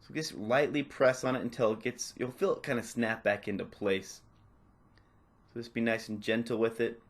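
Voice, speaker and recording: A man narrating a step-by-step tutorial off the cuff, in a casual, instructional way with softened phrasing. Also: mid-range voice, fairly even intonation, normal speed. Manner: casual, instructional, hedging with "kinda" and "a little bit". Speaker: repair tutorial presenter.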